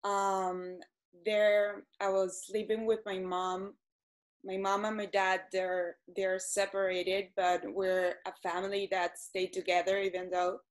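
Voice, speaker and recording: A woman, answering interview questions hesitantly with many pauses and ums. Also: mid-range voice, little pitch movement, poor audio. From Venezuela. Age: 30s. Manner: hesitant, frequent ums and restarts. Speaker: guest.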